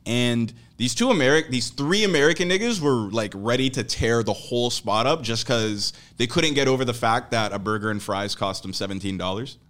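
A man's voice: medium pitch, normal speed, few pauses, a bit bright. A man co-hosting a podcast, chatting in a laid-back way with dry quips. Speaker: podcast co-host. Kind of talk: casual chat.

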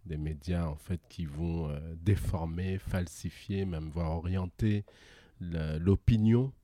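A man's voice: deep voice, takes it slowly, warm tone, dry recording. A middle-aged man from Guadeloupe, a guest in a casual interview, talking hesitantly with many restarts and easy laughter.

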